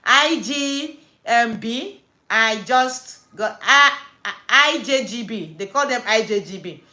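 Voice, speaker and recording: High, bright-voiced Nigerian woman. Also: slow. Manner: chatty, animated storyteller with pidgin slang. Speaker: livestream host. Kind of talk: casual live-stream chat.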